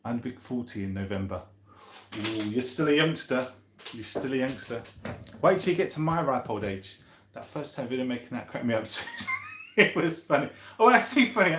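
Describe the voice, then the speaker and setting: Animated man in his fifties, roomy sound. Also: mid-range voice, moderate pace, background noise. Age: 50s. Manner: rambling, chummy, thinking aloud. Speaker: live stream host. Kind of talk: casual chat, reading out and answering viewer comments. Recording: roomy echo.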